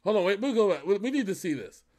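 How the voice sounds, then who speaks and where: High-pitched man reacting excitedly, music behind. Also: quick pace. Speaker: host of a music reaction show. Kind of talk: casual excited reaction commentary.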